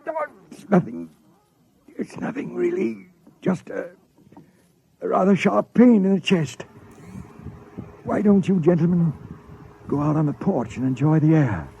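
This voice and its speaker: An actor playing a gracious, lonely older doctor, halting and emotional, in 1950s radio drama style. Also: male voice, on the high side, gravelly, mellow, dull audio. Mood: pained, reassuring. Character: elderly doctor, gracious lonely host and family man. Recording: noisy vintage 1950s radio recording.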